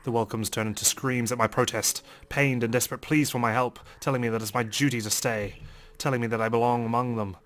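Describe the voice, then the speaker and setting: A man doing a let's play, reading a game's narration aloud in an expressive, dramatic storytelling way. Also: mid-range voice, brisk, hardly any pauses. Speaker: let's player.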